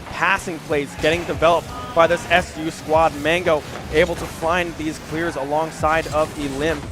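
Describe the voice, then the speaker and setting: A young man commentates a college esports match in a fairly high voice that has gone hoarse over a long weekend. He talks fast, hedges with "I mean" and keeps checking in with "right?". Faint game music plays behind him. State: hoarse, losing his voice. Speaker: esports caster. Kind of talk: play-by-play commentary.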